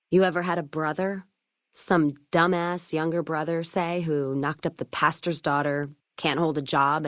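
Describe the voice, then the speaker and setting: A woman's voice: deep voice, no echo, studio quality. A woman playing a tough, foul-mouthed, vengeful caravan trader in a video game, talking in a blunt, folksy, wry way. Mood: exasperated. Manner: blunt, folksy, wry drawl of plain talk. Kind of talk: video game dialogue acting.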